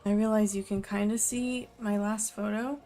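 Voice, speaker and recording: A woman's voice: mid-range voice, steady tone, moderate pace, mellow, faint music behind. Chatty young woman live-streaming from home. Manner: rambling, chatty, self-deprecating. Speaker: art streamer. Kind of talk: casual live-stream chat. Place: home room in Japan.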